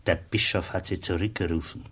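An actor playing a friendly, hospitable customs officer at a border post in a radio play, with a husky voice. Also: male voice, deep voice, steady tone, brisk, fairly bright tone. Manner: kindly, hospitable.

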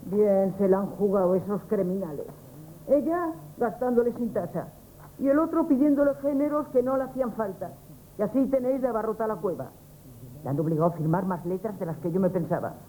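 A woman's voice: mid-range voice, animated, brisk, warm tone, close to an everyday voice, low-quality recording. An actress playing a shrewd older neighbor woman who plots to save a family, in a 1970s radio play. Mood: urgent. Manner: urgent, conspiratorial. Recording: old radio broadcast with faint hiss.

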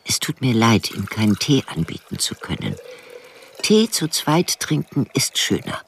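An elderly village widow in a radio drama. She speaks in a low woman's voice, dry and plain, stubborn and protective. There is faint background noise. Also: very animated, unhurried, gravelly, full character voice. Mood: matter-of-fact, dry. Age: older. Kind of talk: radio drama narration and dialogue.

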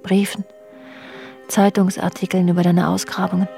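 An actress playing a brooding, suspicious middle-aged wife in an intimate radio drama, hushed and halting, often slipping into a whisper. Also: female voice, fairly low pitch, level tone, creaky, soft background music. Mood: quiet, searching. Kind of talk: intimate German radio drama acting, dialogue and inner monologue.

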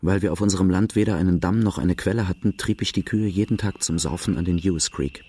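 A man's voice: sits low, fast talker, dark tone, slightly rough. An actor playing a brooding outlaw narrating his boyhood in a German radio drama, grave and confessional.

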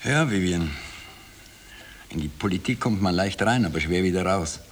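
An actor in a 1960 radio drama playing a bitter Viennese waiter who talks in a colloquial, confiding way. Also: male voice, low voice, animated, moderate pace, a little hiss or hum. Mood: weary, confiding. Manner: colloquial confiding narration, obliging when serving. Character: bitter Viennese waiter recalling his life to a woman.

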